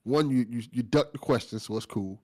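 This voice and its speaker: A man's voice: mid-range voice, fairly quick, warm tone. A man hosting a casual interview, speaking in an emphatic, slangy, teasing way. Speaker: host. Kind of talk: casual, joking interview chat.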